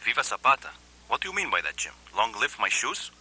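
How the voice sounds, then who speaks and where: A man's voice: medium pitch, huge pitch swings, fast, pushed and bright, breathy edge, no echo, full character voice. A man playing a polite, wary drug lord in a satirical radio play. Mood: wary, courteous.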